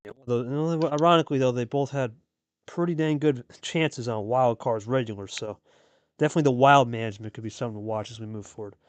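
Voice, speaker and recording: A man's voice: medium pitch, fast talker, dry recording. A man hosting and calling a card game match in a casual, chatty play-by-play style, repeating phrases for emphasis. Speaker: host and play-by-play commentator.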